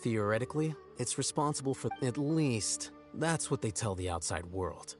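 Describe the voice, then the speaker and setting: An actor playing a composed, aloof young male scholar in a video game, explaining things in a measured, dry way. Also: medium pitch, animated, natural voice. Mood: coolly explaining. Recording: game music behind.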